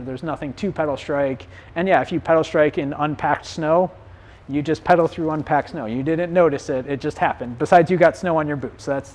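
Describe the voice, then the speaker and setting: A man with a slightly raised pitch leads a live-streamed bike shop clinic. He explains things in a loose, conversational way, and his voice is getting hoarse.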